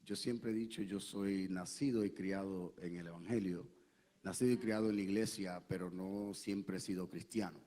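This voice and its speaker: A pastor gives his testimony in a church, his voice low and mellow. He speaks earnestly and haltingly, with frequent pauses and fillers. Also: steady tone. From Puerto Rico. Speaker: pastor, guest and interpreter. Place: church sanctuary.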